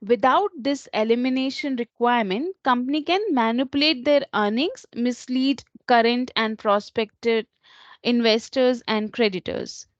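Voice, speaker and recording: Woman, software trainer, higher-pitched voice, slightly halting explanatory delivery, instructional presentation, dry lo-fi sound. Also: no room sound. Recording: dull lo-fi close recording.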